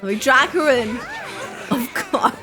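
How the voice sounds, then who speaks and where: Young woman gamer reacting, high swoopy voice, kinda slow n rambly, says like a lot. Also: animated, music in the background. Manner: rambling, heavy use of "like". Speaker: gaming reaction streamer. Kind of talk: casual live reaction commentary.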